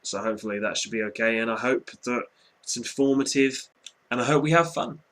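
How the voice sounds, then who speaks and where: A man's voice: medium pitch, fairly quick, a bit bright. A young man in his twenties from Norwich with a mild general English accent, casually answering viewer questions in a rambling, chatty vlog. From Norwich, England. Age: 20s. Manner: chatty, rambling, hedging with "quite" and "um". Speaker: vlogger.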